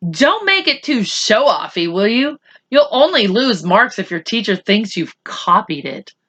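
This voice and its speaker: A woman's voice: mid-range voice, big pitch swings, measured pace, fairly bright tone. A woman voicing a sarcastic, bossy schoolboy brother in a storybook reading, scornful and demanding. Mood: grudging, needling.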